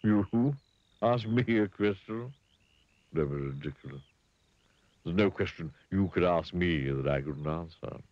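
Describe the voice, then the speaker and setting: A man's voice: low voice, measured pace, long pauses, no room sound, lo-fi sound. A man voicing a smug, condescending clever pig in a children's story. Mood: rude. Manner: condescending, rude.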